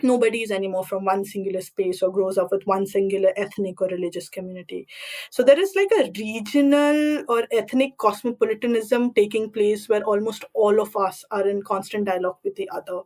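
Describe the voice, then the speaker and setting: A woman's voice: mid-range voice, brisk, a little mellow. A young woman from India with an Indian accent, speaking as an interview guest in an articulate, reflective and explanatory way. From Delhi, India. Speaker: podcast guest, doctoral researcher.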